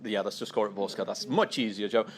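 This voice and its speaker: A man hosting a casual sports preview show, chatting with a co-host and often pausing with ums and uhs. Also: lowish voice, crowd noise.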